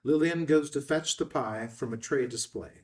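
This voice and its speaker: A man's voice: mid-range voice, moderate pace, darkish tone. A man reading a film script's stage directions as narrator, in a matter-of-fact way.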